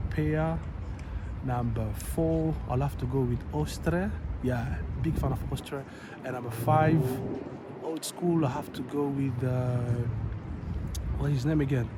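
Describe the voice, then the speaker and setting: A young man from Rwanda hosting a casual Q and A vlog outdoors in a city, chatty and relaxed. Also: mid-range voice. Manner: relaxed, chatty, loose and upbeat. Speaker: YouTube channel host. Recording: outdoor city noise and traffic. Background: street and traffic noise.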